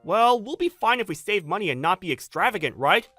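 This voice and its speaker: A woman's voice: mid-range voice, sing-song, a little fast, a bit bright, faint music behind. A woman voicing a selfish husband who refuses to do housework, in a blunt, demanding manner for a manga dub. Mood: dismissive.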